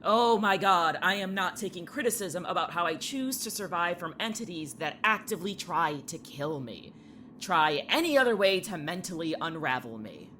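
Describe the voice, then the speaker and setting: A man's voice: high voice, lively intonation, normal speed, bright, forceful tone, slight background noise. A young man acting in an audio drama as a sarcastic, irritable young man trapped in a horror town, dry and defiant. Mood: exasperated. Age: young adult.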